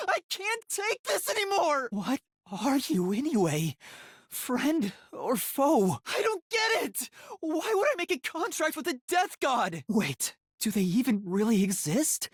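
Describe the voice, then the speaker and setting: A man voicing a timid, earnest young male trainee detective in a video game, with a light, soft, boyish voice and a hesitant manner that stammers when flustered. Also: very high voice, breathy edge, no echo, studio quality, heavily acted. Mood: panicked, desperate, then confused, uneasy. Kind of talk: video game voice acting, dramatic lines.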